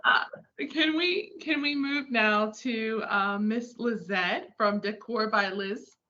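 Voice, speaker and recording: A woman's voice: on the high side, takes it slowly, bright, forceful tone. A woman moderating a panel discussion in a friendly, encouraging way. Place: on a video call. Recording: muffled video call with faint hiss.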